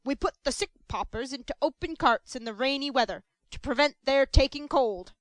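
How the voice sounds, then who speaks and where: A woman's voice: sits high, normal speed, no echo, close to an everyday voice. A woman reading aloud, voicing a pompous, self-important middle-aged male parish beadle who talks sternly and with bluster. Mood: callous.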